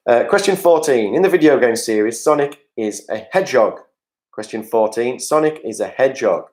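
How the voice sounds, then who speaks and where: A man's voice: mid-range voice, animated, normal speed, a little mellow. A man hosting an online pub quiz, reading out answers with chatty jokes and asides to the players over a video call. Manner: jokey, chatty asides to players, reads questions aloud. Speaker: quiz host.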